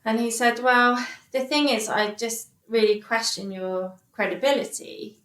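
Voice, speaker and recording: A woman's voice: on the high side, a bit bright. A woman in her thirties, a podcast guest telling a personal story with many restarts and fillers. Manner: halting, many restarts and fillers, quotes others. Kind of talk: candid interview storytelling. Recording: echoey room.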